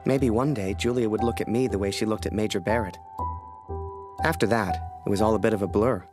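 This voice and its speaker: A man's voice: lowish voice, brisk, lightly acted. An actor playing a wistful young soldier-photographer who narrates his memories in a video game. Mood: reflective. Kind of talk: first-person game narration. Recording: music behind the voice.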